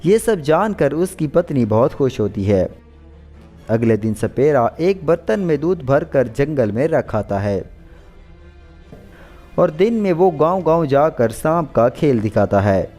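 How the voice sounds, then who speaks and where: A man's voice: on the high side, sing-song, unhurried, mellow, faint music behind. A man narrating a scripted children's story as a storyteller.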